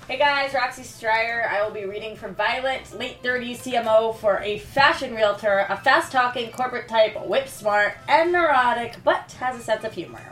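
Echoey, higher-voiced woman actor. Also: moderate pace, hardly any pauses, fairly bright tone, recorded from a distance. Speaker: actor in a table read. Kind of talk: introducing herself and her role.

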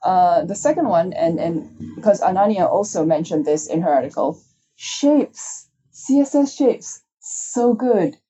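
A woman's voice: on the low side, expressive, a little slow, dark tone, husky, lo-fi sound. A woman from Malaysia chatting casually and enthusiastically as a guest developer, telling stories in a rambling way. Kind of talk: casual conversational talk.

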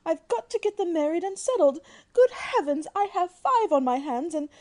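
A bossy, flustered middle-aged mother in a radio play. Her voice is extremely high yet soft-edged, and she insists fretfully. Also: lively intonation, normal speed. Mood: flustered, indignant. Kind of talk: old-fashioned radio drama acting.

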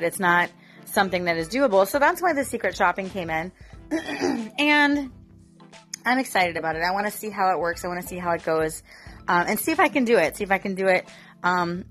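A woman's voice: fairly low pitch, a bit bright. A woman getting over a cough, talking casually and candidly to her viewers, with lots of fillers. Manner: chatty, candid, with frequent fillers. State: recovering from a cough. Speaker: vlogger. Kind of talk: casual chat to camera.

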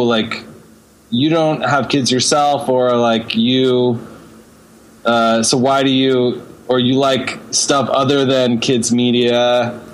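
A man speaking as an interview guest in a casual, halting and thoughtful way. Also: medium pitch, rough recording. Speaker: interview guest, creative director.

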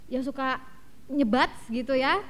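A woman's voice: high voice, a little slow, a bit bright. A woman lecturer from East Java, a podcast guest, chatting casually, joking and often repeating words. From East Java, Indonesia. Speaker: university lecturer and vice dean, podcast guest.

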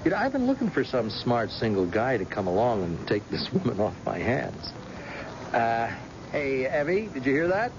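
A middle-aged blind former policeman, proud and jovial. His hearty voice sits at an ordinary male pitch and moves in lively swings. It is old radio drama, dull-sounding with clear background noise. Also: moderate pace. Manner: hearty, chuckling, interjecting. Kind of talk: vintage American radio drama acting.

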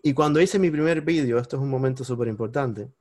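A man's voice: fast talker, no room sound, studio quality. Cuban-accented man telling a story. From Cuba. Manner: animated, jumps into high-pitched exclamations when retelling moments. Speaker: podcast guest. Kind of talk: storytelling in casual conversation.

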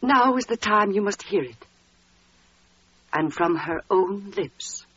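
An anxious, devout mother in a vintage radio drama. The voice is mid-pitched and very breathy and husky, delivered with melodrama on a muffled recording. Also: animated, slow, fairly bright tone, no echo, heavily acted. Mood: grave, urgent. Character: anxious, devout mother, loving long-married wife. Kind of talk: 1940s radio acting.

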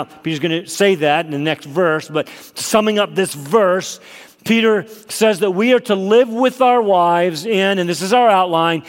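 A man preaching to his congregation, his voice a bit nasal from a cold. He sits fairly high for a man and climbs further when he stresses a point. The sound rings with long reverb through the church hall. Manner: emphatic, rising for key points. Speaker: pastor. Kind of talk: sermon. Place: church sanctuary.

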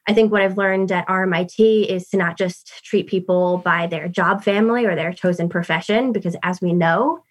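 A woman from New York tells her career story with a clear New York accent. She talks in a casual, chatty way, leaning on fillers as she goes. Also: fairly low pitch, moderate pace, hardly any pauses. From New York City. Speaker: guest. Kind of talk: casual interview talk.

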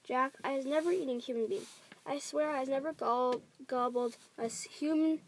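A woman's voice: on the high side, steady tone, slow pace, a little hiss or hum. A woman voicing a huge brutish male giant from a storybook, bellowing and pleading in frantic terror. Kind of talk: dramatic storybook reading.

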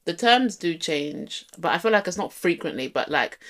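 A woman's voice: lowish voice, animated, moderate pace, a bit bright. A young woman with a London accent, co-hosting a casual podcast chat and talking earnestly and reflectively, with lots of "like" fillers. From England. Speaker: podcast co-host.

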